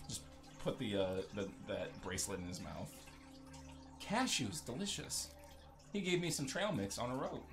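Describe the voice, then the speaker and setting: A man with a creaky voice giving casual, joking video game commentary, talking to himself as he plays. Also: medium pitch, very animated, moderate pace, pauses often.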